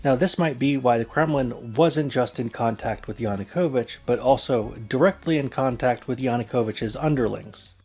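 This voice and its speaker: A man presents a scripted explainer as narrator. His voice sits at an average pitch for a man. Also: moderate pace, few pauses, darkish tone, slightly rough, faint music behind.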